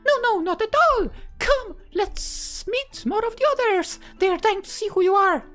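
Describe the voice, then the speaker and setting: A woman voicing an excitable, bossy teenage girl, a perky and theatrical visual novel character. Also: extremely high pitch, moderate pace, faint music behind, pitched above the performer's own voice. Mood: bubbly, eager. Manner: perky, theatrical, indignant when teased. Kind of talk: anime-style game character voicing.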